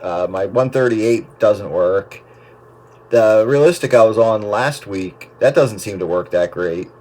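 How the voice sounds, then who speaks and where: Low-voiced man over noisy radio. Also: a little slow, a little mellow. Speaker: host of an amateur radio chat. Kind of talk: casual radio chat about equipment. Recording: noisy radio transmission with static.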